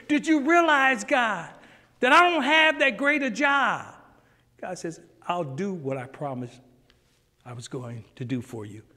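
A middle-aged man teaching a Sunday school lesson in a church sanctuary, preaching with animation and acting out exchanges with God, swinging between loud and hushed. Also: a little high, big-room sound. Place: church sanctuary, standing before a pulpit.

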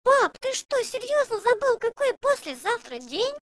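Cartoon schoolgirl piglet: very high, swoopy, insistent, chirpy, recorded dry. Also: moderate pace, a little hiss or hum, heavily acted. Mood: exasperated, insistent. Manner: chirpy, expressive, childlike questioning. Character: cheerful, insistent little girl pig, young schoolchild. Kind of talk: children's cartoon dubbing.

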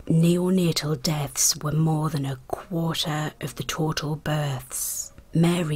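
Croaky, breathy woman narrating slowly. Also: low voice. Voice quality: croaky, breathy, half-whispered. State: croaky voice after a hospital stay. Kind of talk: scripted history documentary narration.

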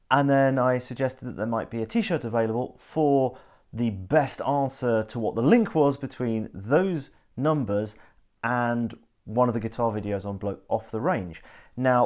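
A man's voice: on the low side, darkish tone. A man in his thirties talking casually to camera in a living room, explaining things in a geeky, thorough, hesitant way. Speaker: host of a video channel. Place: living room indoors, on a sofa.

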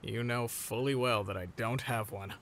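A man voicing a tired, grumpy adult male hero teacher in a comic dub, deadpan and understated. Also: mid-range voice, moderate pace, lightly acted. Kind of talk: animated comic dub voice acting.

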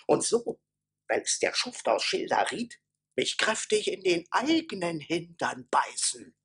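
An actor playing a fat, pompous imperial marshal who sputters indignantly in a raspy, gravelly voice, in a radio play. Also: male voice, a little high, big pitch swings, slow, fairly bright tone, exaggerated voice. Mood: sputtering outrage. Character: fat blustering imperial marshal in clanking tin armour. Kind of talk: theatrical German radio play acting.

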